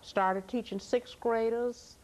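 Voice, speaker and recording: Chatty, expressive woman. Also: fairly high pitch, slow pace, low-quality recording. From Tennessee, US. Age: older. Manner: warm storyteller, chatty and expressive. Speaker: retired teacher and author. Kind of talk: interview guest telling her life story.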